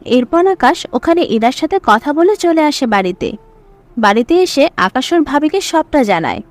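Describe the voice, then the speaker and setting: High-pitched woman narrating a story. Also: sing-song, moderate pace.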